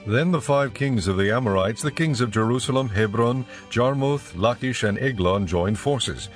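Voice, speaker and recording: A man giving a measured, solemn scripted narration for a dramatized audio Bible. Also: mid-range voice, expressive, faint music behind.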